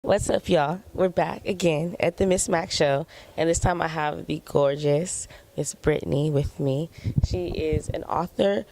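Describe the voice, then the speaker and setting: A woman hosting a casual interview, playful and quick to laugh. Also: sits low, creaky, a little mellow. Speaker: host.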